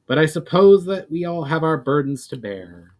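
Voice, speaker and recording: A man voicing a solemn, lonely female water spirit in a storybook reading, with formal, measured, archaic phrasing. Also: a little high, lively intonation, a little mellow, voice pushed up, natural voice. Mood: wistful. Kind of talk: amateur dramatic storybook reading.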